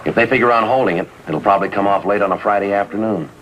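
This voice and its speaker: An actor playing a stern, no-nonsense American police sergeant, clipped and matter-of-fact, in a 1950s police drama. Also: male voice, on the low side, fast talker, a bit bright, slight background noise, close to an everyday voice. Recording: dull vintage broadcast, faint music.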